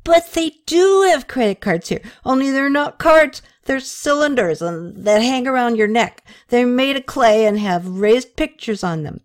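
A woman voicing a giddy, drunk young man who rambles and slurs with excitement. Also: on the high side, unhurried, few pauses, voice pushed up. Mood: tipsy excited.

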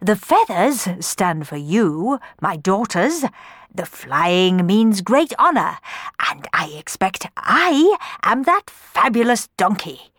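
A woman's voice: medium pitch, big pitch swings, slow, fairly bright tone, no room sound, clean studio sound, full character voice. A woman voicing a pompous, boastful middle-aged father telling his daughters about his dream in a storybook reading.

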